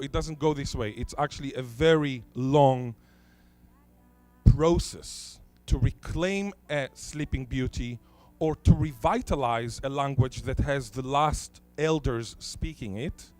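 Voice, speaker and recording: A man whose first language is Hebrew, giving a conference talk in a lecture hall, posing rhetorical questions and stressing key words deliberately. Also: mid-range voice, a little mellow. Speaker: conference speaker.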